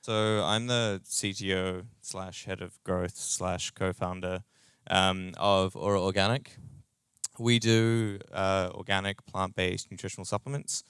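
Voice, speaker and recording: A man with a New Zealand accent giving an informal startup talk in a casual, halting, slangy manner. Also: deep voice, steady tone, a bit bright, clean tone, no echo, studio quality. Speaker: startup co-founder, tech and growth executive.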